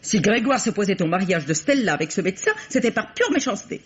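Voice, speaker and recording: A woman's voice: mid-range voice, expressive, quick pace, slightly rough. An actress playing an aggrieved, protective middle-aged mother in an old French radio mystery, protesting indignantly. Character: aggrieved, protective mother, sister-in-law of deceased. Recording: dull vintage radio sound.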